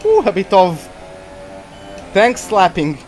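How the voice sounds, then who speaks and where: High-voiced man with a Portuguese accent. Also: wildly swooping pitch, slow, darkish tone, faint music behind. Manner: chatty, rambling, easily distracted. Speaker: gaming streamer. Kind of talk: live commentary while playing a racing game.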